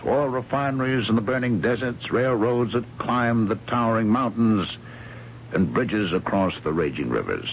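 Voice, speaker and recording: An actor playing a brooding, obsessive middle-aged engineer husband in a theatrical, grandiloquent old-time radio style. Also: male voice, medium pitch, lightly acted. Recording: muffled, hissy vintage radio recording.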